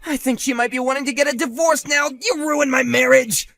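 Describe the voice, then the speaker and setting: A man doing a shrill blustering bully husband. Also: lively intonation, fast talker, no echo, faint music behind, natural voice. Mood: furious. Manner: shouting, whiny, stammering when cornered. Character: hotheaded male bully husband, panicky and blustering. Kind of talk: one-man voiced drama.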